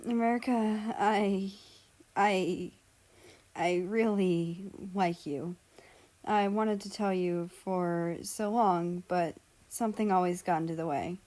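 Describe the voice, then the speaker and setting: A big, shy young man from a storybook, acted by a woman reader, speaking slowly and haltingly. He is earnest and hesitant. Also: on the low side, fairly even intonation, darkish tone. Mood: shy, tender.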